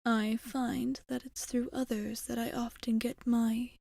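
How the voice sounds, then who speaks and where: A woman playing a refined, teasing, centuries-old vampire bartender in a soft ASMR roleplay, half-whispered, with formal, coy phrasing. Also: fairly high pitch, little pitch movement, a little slow, vocal fry, dry recording. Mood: tender, reflective.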